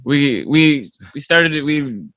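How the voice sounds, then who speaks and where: A man's voice: fairly high pitch, lively intonation, slow pace, fairly bright tone, dry recording. Young American man, dry close sound. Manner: joking, tells stories in quoted mock dialogue. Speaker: band member, interview guest. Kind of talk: casual chat.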